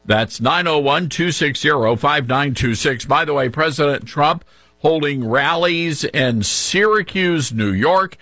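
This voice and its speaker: Male radio host, upbeat interviewer, bright tone, dry close mic. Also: a little high, lively intonation, unhurried, dry recording. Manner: upbeat, conversational, joking. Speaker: radio talk show host. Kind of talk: radio show hosting and interview.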